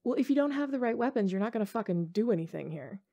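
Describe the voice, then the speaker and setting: A woman's voice: on the high side, expressive, quick pace, mellow, dull audio. A woman playing a playful, teasing college-age tomboy gamer girl in an intimate ASMR roleplay, talking casually in slang with hesitations. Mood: playful, relaxed.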